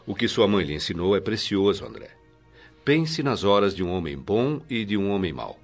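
A solemn, low-voiced Brazilian mentor. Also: male voice, very animated, muffled recording, soft background music. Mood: solemn, instructive. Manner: didactic, measured, formal. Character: wise, solemn male spiritual mentor, patient teacher. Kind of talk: Brazilian radio drama acting.

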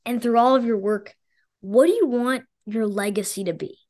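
A woman's voice: a little high, moderate pace, darkish tone. A woman hosting an interview podcast and asking brief, direct questions. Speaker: podcast host.

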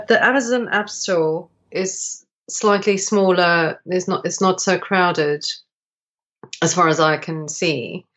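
A woman's voice: on the low side, fairly bright tone, muffled recording. A South African woman developing an app, asking for advice in a casual call, hesitant with many fillers and restarts.

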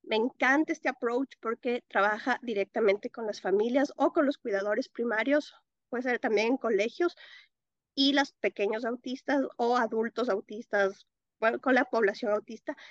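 Expressive Ecuadorian woman, interview guest. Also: a little high, moderate pace, dry recording, lo-fi sound. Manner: expressive, laughs often, mixes in English words. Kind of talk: interview answers.

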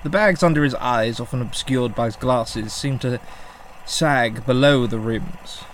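Man as a slow, brooding drama narrator. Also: mid-range voice, a little hiss or hum, lightly acted. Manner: slow, deliberate. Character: male narrator of a noir occult drama, observant, brooding. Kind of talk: scripted first-person narration.

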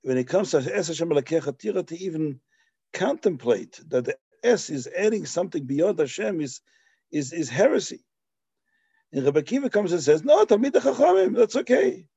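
A man's voice: a little high, very animated, moderate pace, creaky, dark tone, husky, dry recording, highs cut off. A man raised in Belgium giving a Talmudic lecture, explaining passages with Hebrew and Yiddish terms and frequent restarts. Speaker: Torah teacher.

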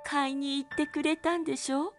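High-voiced, tender young widow. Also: female voice, steady tone, brisk, over music. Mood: hurt, upset. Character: tender, grieving young widow, emotional and sincere. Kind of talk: emotional audio drama acting.

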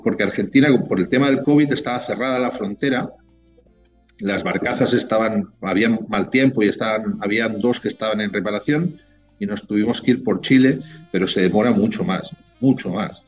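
A man's voice: on the low side, moderate pace, highs cut off, faint music behind. A man from Spain with a Spanish accent, a winemaker talking casually as an interview guest, rambling and full of tag questions.

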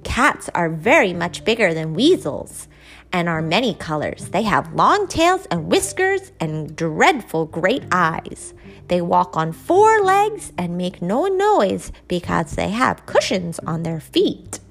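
A woman voicing a chorus of small meadow mouse children reciting their lessons in high, earnest voices. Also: sing-song, slow, creaky, faint music behind, full character voice. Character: timid young meadow mice children, eager pupils.

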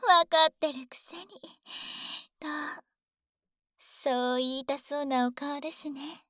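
Woman doing a clingy seductive dragon maid for asmr, high soft polite talk that keeps dipping into whispers, super close and dry. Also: no echo. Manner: formal servant speech, intimate, coaxing, often whispering. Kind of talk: ASMR situation voice drama. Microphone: close microphone.

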